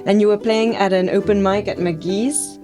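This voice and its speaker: A woman's voice: mid-range voice, fairly even intonation, normal speed, music in the background. A woman hosting a podcast in casual, bubbly chat, laughing often. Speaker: podcast host.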